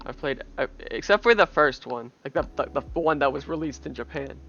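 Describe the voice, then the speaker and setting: Young woman w a deep voice chatting on stream, rambly, swears, chill music under it. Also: lively intonation, brisk, fairly bright tone, lo-fi sound. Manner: rambling, restarts, heavy "like" filler, swears freely. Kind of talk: casual livestream chat. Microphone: headset.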